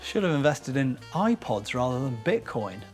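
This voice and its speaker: A man's voice: mid-range voice, sing-song, moderate pace, husky, faint music behind. A middle-aged man narrating a scripted vlog as host, chatty and self-deprecating, with wry asides. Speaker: YouTube host.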